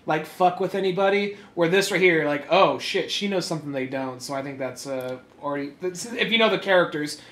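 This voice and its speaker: A man co-hosting a podcast, giving casual, animated commentary on a comic with joking profanity. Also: fairly high pitch, brisk, hardly any pauses, roomy sound. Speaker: podcast co-host.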